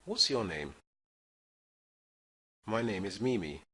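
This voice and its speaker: A man reads simple English greetings and phrases for beginners, pausing so learners can repeat. His delivery is slow and deliberate with a lively rise and fall, and his voice is bright with a faint husky edge. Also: on the low side. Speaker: English teacher. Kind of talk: slow scripted language-lesson reading.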